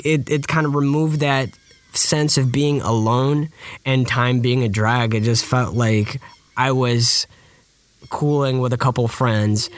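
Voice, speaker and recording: A man whose first language is Russian, chatting casually on a podcast in a rambling, self-deprecating, jokey way. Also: medium pitch. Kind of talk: casual joking podcast chat.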